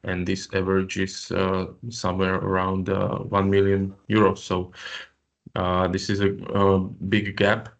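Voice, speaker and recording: A man's voice: low-pitched, completely flat delivery, measured pace, creaky. A man from Slovakia presenting as project coordinator in an online info session, hesitant with many fillers. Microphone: video call.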